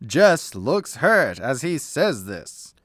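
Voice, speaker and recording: A man narrates a comedy radio play from a script. His delivery is animated and unhurried, in a fairly high voice recorded dry and close. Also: dry recording.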